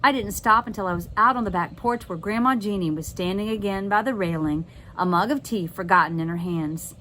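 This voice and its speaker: A woman narrates a children's chapter book with expression and drama. Her pitch sits in a middle range, and noticeable noise runs behind the voice. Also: a little fast, bright, forceful tone, rough recording. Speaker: teacher reading aloud.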